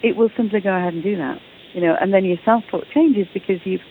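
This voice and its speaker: A woman's voice: mid-range voice, quick pace, slight background noise. A woman with an English accent, a guest author talking eagerly and often repeating words as she starts sentences. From England. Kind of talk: casual interview talk. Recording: tinny phone line.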